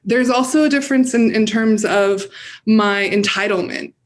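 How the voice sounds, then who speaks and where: A woman's voice: medium pitch, normal speed. A woman with an American accent giving earnest, reflective answers as an interview guest. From United States. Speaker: guest, campaign director and community organizer.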